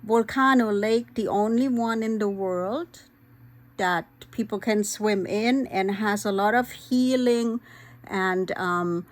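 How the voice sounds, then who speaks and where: A woman, talking candidly and earnestly to her vlog audience, pausing often with "um". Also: mid-range voice. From Vojvodina, former Yugoslavia; raised in Germany. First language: Hungarian. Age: 50s. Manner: earnest, candid, frequent "um" pauses. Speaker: vlogger. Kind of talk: personal vlog talk.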